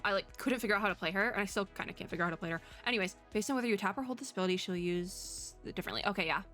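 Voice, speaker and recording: A woman streamer giving casual, chatty reaction commentary on a game, rambling and expressive in an excitable way. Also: medium pitch. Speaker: streamer, VTuber.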